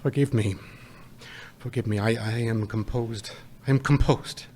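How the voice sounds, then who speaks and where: An actor playing a gentle, poor father who speaks tenderly to his family about his lost little son in a live radio play. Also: on the low side, steady tone, a little slow, a little hiss or hum, close to an everyday voice. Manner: tender, soft, choked-up.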